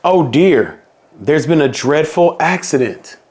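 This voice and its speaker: A man reading a children's picture book aloud in a slow, playful storybook manner. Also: a little high, expressive, a little mellow.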